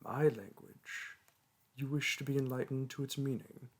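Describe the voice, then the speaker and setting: A man's voice: mid-range voice, fairly even intonation, moderate pace, lightly acted. A man playing a condescending young male dragon teacher in a roleplay audio, speaking in archaic, formal and disdainful phrases. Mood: lofty disdain. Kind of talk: roleplay audio monologue to a listener.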